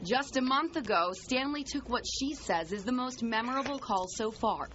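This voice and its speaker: A woman's voice: a little high, lively intonation, moderate pace, a bit bright, lo-fi sound, slight background noise. An American woman narrating a scripted television news report as the reporter.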